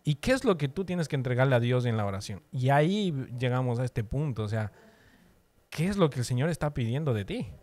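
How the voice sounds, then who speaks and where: A man's voice: mid-range voice, animated, fairly quick, a little mellow. A man from Ecuador casually explaining faith as a podcast co-host, repeating phrases for emphasis. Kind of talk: casual religious discussion.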